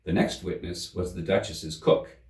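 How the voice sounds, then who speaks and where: A man reading a classic children's story aloud in expressive scripted narration. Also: deep voice, normal speed. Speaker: storybook reader. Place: room in a museum. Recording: roomy, slightly distant microphone.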